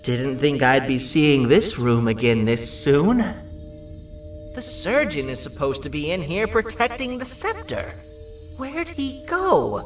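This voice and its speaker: A man's voice: a little high, big pitch swings, slow. A man voicing an eccentric, theatrical monster jester in a video game, hungry for an audience. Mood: wary. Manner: theatrical, exclamatory, swooping delivery. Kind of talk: video game character acting. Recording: echoey, distant, loud music behind.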